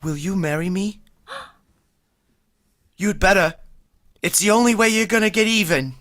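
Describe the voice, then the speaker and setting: A woman's voice: medium pitch, sing-song, a bit bright. A woman playing a nervous teenage boy hurrying his date along in an amateur vintage radio play. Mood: urgent, flustered. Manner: hurried, pushy and jittery.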